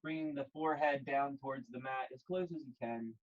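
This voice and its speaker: A man leading a guided yoga class, speaking gently and calmly in short, measured phrases with pauses. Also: mid-range voice, highs cut off. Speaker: yoga instructor.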